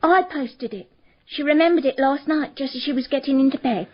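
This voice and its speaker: Radio play maid, female: high voice, respectful and precise, dull recording played by an actress. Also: brisk, natural voice. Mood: helpful, matter-of-fact. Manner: respectful, precise, matter-of-fact. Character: deferential female maid answering a detective's questions. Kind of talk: radio drama acting.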